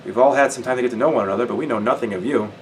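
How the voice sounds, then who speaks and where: A man's voice: mid-range voice, rapid-fire, far from the mic, slight background noise, voice pushed up, close to an everyday voice, rough recording. A man voicing a big, sarcastic French soldier who speaks theatrically. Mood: playful.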